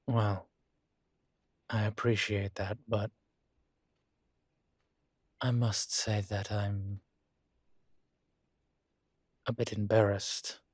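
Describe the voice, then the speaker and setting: A man acting as a composed, courteous college professor in an intimate audio roleplay, speaking with measured, formal politeness and dry wit. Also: fairly low pitch, steady tone, breathy edge, no room sound, full character voice. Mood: embarrassed, apologetic. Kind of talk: intimate scripted one-sided roleplay dialogue.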